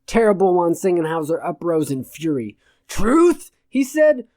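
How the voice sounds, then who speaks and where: A woman's voice: lowish voice, big pitch swings, moderate pace, warm tone, no echo, clean studio sound. A woman reading scripted audiobook narration with expression.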